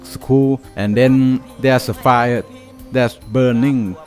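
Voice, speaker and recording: A man's voice: medium pitch, fairly even intonation, slow, darkish tone, faint music behind. A man with a Thai accent telling a story casually, hesitant and full of restarts. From Thailand. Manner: hesitant, many fillers and restarts.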